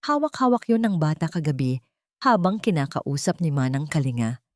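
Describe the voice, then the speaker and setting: A woman narrating a sentimental story in a scripted, dramatic style with emotive, deliberate phrasing. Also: sits low, very animated, no echo, studio quality.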